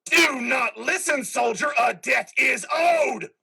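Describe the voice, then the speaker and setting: An actor playing a vengeful, commanding warrior with a harsh rasp in a play reading. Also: high voice, slow, sharp tone, raised voice, exaggerated voice. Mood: menacing. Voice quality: harsh, rasping. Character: vengeful, commanding soldier's voice urging killing. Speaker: amateur actor.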